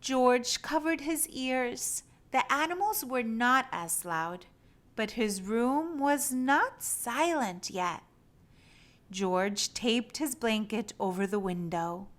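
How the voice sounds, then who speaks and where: A woman reading a children's storybook aloud in an expressive, animated storytelling style. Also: fairly high pitch, slow. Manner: animated, acts out animal sounds and exclamations.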